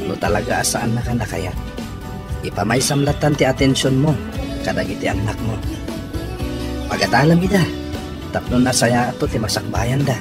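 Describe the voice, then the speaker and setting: A man's voice: medium pitch, lively intonation, normal speed, long pauses, over loud music, natural voice. An actor playing a man's frank drinking buddy in an Ilocano radio drama, advising firmly with an Ilocano accent. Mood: firm, supportive. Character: male drinking buddy giving frank advice.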